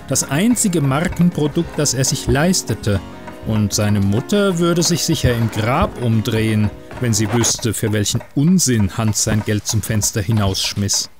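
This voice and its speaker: Male short-story narrator for a podcast, measured delivery, mid-range voice, noticeable music bed. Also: medium pitch. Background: music under the voice.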